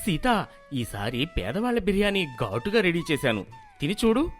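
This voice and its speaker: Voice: mid-range voice, sing-song, moderate pace, faint music behind, voice pushed down, natural voice. A performer voicing an earnest, proud, poor village husband who cooks, speaking eagerly in a cartoon moral story.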